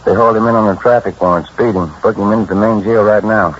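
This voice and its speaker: A man's voice: lowish voice, steady tone, fast talker, lightly acted. An American man acting as an easygoing police detective partner in a 1950s radio drama, talking in short, matter-of-fact phrases. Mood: brisk. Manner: casual, clipped, matter-of-fact. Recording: dull 1950s radio recording, faint noise.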